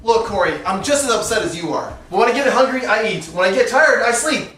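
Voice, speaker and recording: An actor playing an exasperated, sarcastic young lawyer husband, arguing drily in theatrical comic dialogue. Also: male voice, sits high, animated, a little fast, far from the mic. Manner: dry, argumentative, sardonic. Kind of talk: theatrical comedic stage dialogue. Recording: echoey room with background noise.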